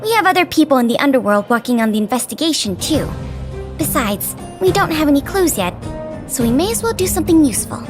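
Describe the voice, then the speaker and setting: An earnest young female intelligence officer from an anime-style game. The voice is high and animated, with articulate, businesslike phrasing and polite duty in it. Music plays clearly behind. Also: fast. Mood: helpful, composed.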